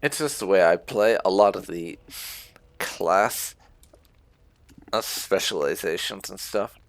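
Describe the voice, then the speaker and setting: A man's voice: low-pitched, takes it slowly, vocal fry, no room sound. A man hosting a video game playthrough with casual commentary, musing to himself as he goes.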